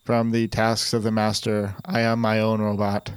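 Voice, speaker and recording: A man doing a flat, deadpan robot. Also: lowish voice, moderate pace, clean tone, dry recording, lightly acted. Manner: flat monotone, stiff formal phrasing. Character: deadpan male robot, resentful and pompous. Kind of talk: improvised comedy sketch.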